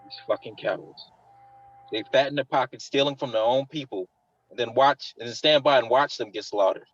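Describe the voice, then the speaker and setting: An impatient, angry army captain acted by a man at a medium pitch, blunt and commanding. It is a drama table read on a dull microphone. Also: moderate pace, close to an everyday voice. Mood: seething.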